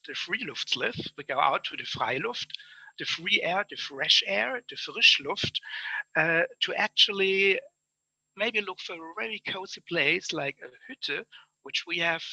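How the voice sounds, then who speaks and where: A German man with a German accent recaps an online conference in eager, piled-up phrases. His voice sits slightly high and rings very bright. The sound is dry and close, with no room around it. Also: no room sound, low-quality recording. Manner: enthusiastic, lists things in chains of near-synonyms. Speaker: conference speaker summing up presentations. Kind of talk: spoken conference summary. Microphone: online conference call.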